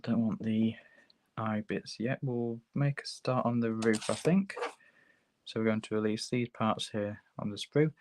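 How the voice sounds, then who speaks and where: A man with a hoarse sore throat, hosting a relaxed, chatty livestream tutorial while building a model kit at his workbench. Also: lowish voice, a little slow, noisy background. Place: hobby workbench, filmed from overhead.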